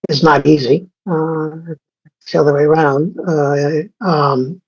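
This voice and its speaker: A man's voice: a little high, steady tone, slow pace. A man in his sixties from Egypt, a scientist guest in an interview, talking casually with many fillers and restarts. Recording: dull lo-fi sound.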